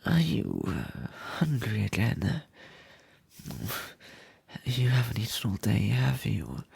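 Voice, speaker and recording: A man voicing an insane, doting, sadistic kidnapper in an ASMR roleplay, husky and often whispering, with halting, sweetly menacing delivery. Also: medium pitch, darkish tone, dry recording, heavily acted. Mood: mock tender, taunting.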